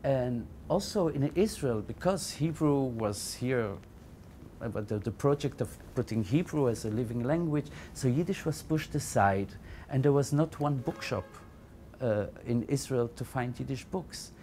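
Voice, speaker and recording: A middle-aged man from Belgium, telling his life story in a reflective, halting way, often repeating words. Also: medium pitch, lively intonation, dark tone, slight background noise. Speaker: interviewee, founder of a Yiddish library. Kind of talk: personal testimony to camera.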